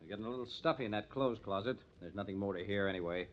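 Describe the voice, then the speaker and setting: Man as a quick-talking, dry police captain. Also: fairly low pitch, slight background noise, lightly acted. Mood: dry, knowing. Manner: dry, matter-of-fact. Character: shrewd, unruffled, dry male police captain. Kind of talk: old-time radio drama acting. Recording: dull, lo-fi old radio recording.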